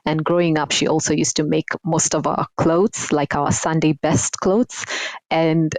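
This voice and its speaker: A woman from Kenya, a guest designer, answering interview questions in a reflective, unhurried way with frequent ums. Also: deep voice, flat delivery, a little mellow, no room sound. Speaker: guest, surface pattern designer.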